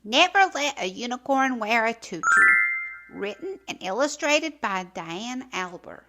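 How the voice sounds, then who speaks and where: A woman hosting a children's storytime, reading aloud expressively with theatrical, deliberate phrasing. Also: on the high side, big pitch swings, over loud music. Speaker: children's storytime host and narrator.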